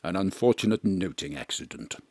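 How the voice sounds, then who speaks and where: An actor playing a pompous, melodramatic veteran male stage actor who swings between booming declamation and hushed confession, recorded from a home studio. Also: expressive, a little fast, no echo. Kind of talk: live remote audio drama acting.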